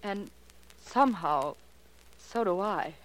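An actress playing a guarded, uneasy fiancée in a 1940s radio drama, reserved and polite but firm. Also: female voice, mid-range voice, expressive, slow pace. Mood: uneasy, hesitant. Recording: noisy old radio recording with clicks.

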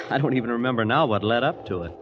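A man's voice: mid-range voice, expressive, fast talker, background noise, close to an everyday voice. An actor playing an impressionable twenty-year-old Missouri prep school boy in folksy, chatty 1940s radio drama style. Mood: reminiscing. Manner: folksy, chatty, wide-eyed. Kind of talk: 1940s radio acting, first-person narration and dialogue. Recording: dull old radio recording with music.

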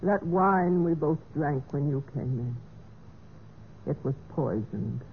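An actress playing a betrayed, wistful but resolute wife in a measured, theatrical golden-age radio mystery style. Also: female voice, deep voice, expressive, exaggerated voice. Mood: calm, resolute. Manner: measured, deliberate, theatrical delivery. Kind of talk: 1940s-50s radio mystery acting. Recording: tinny, noisy old radio recording.

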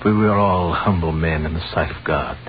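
Actor as a deep, solemn biblical wise man. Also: brisk, close to an everyday voice. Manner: grave, reverent, archaic and declamatory. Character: solemn, devout, commanding wise man leading pilgrims. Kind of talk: 1930s radio acting. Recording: very tinny, noisy 1930s radio recording.

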